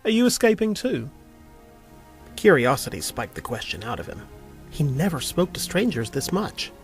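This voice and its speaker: A man reading scripted narration as the storyteller of an audio drama. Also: medium pitch. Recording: music behind the voice.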